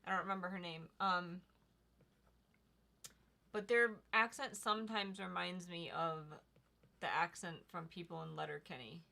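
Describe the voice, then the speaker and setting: A woman's voice: on the low side, unhurried, gravelly, pauses often, sharp tone. A young woman co-hosting a casual livestream, chatting easily and reading viewer comments aloud. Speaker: livestream co-host reading chat.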